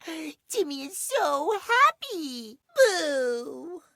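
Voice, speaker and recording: A man's voice: very high voice, big pitch swings, extremely slow, creaky, bright, forceful tone, dry recording, heavily acted. A man voicing a childish, needy living clock boy in a video game, with cutesy, playful baby talk that refers to himself in the third person. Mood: playful, gleeful, then whiny, pleading. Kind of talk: animated video game character acting.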